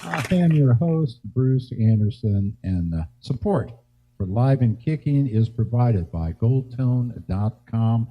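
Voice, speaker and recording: A man's voice: mid-range voice, expressive, takes it slowly, slight background noise. A man casually hosting a live music show, introducing it and the acts. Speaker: host and musician. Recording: dull sound with music behind.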